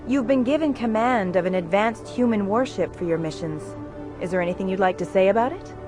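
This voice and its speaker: An actress plays a pushy television reporter in a sci-fi game. She questions in a polished, needling way at a medium pitch, with clear music behind. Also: fairly quick, close to an everyday voice. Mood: probing. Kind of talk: video game acting as news interview.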